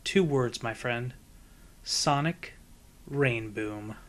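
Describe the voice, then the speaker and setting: A man's voice: mid-range voice, drawn-out words, pitched below the performer's own voice. A man voicing a brash, boastful young female pegasus pony in a storybook reading, cocky and emphatic. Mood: hyped, dramatic. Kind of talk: fan fiction audiobook character voice.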